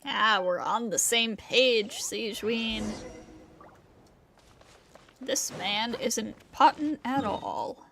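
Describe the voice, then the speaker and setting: A woman's voice: on the high side, very slow, long pauses, a bit bright, slight background noise. A woman streaming a video game with casual live commentary. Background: game music.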